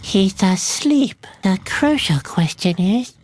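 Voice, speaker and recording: A woman's voice: medium pitch, expressive, takes it slowly, darkish tone. A woman voicing a young escaped prisoner in a video game, gloomy and anxious, given to wry muttered asides to themself. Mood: musing. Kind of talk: video game adventure dialogue.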